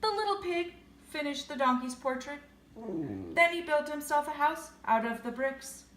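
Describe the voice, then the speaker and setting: High, animated woman reading a children's story. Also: a little slow. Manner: expressive, sing-song, with questions to young listeners. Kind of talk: children's picture-book read-aloud. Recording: echoey room with faint noise.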